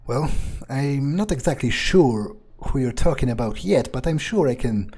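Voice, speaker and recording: A man as a husky, dark-voiced cynical vampire. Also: medium pitch, lively intonation, normal speed. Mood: skeptical. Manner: muttering, sardonic, profane. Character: cynical, foul-mouthed, brooding male vampire. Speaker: role-playing game player. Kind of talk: in-character improvised game playthrough.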